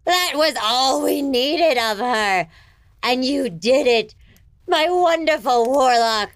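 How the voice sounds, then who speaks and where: An actress playing an imperious, easily exasperated villainous empress in a comedic fantasy audio drama, haughty, theatrical and mercurial. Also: female voice, sits high, animated, takes it slowly, no room sound, full character voice. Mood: excited.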